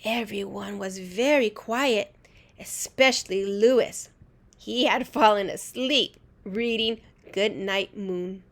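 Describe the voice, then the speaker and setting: A woman reading a children's storybook aloud in an expressive, deliberate teacher's way. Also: medium pitch. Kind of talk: animated storybook read-aloud.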